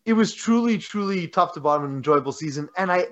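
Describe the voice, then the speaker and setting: A man co-hosts a casual sports podcast, talking loosely with many restarts. His voice sits a little high. The recording is muffled and lo-fi. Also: expressive, fast, fairly bright tone. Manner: rambling, frequent restarts and fillers.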